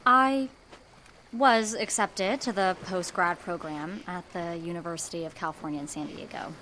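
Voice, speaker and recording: A woman voicing a guarded young woman in an audio drama, quiet and wry about her grief. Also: on the low side, fairly even intonation, normal speed, a little hiss or hum, low-quality recording. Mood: wistful. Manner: dry, wry, subdued.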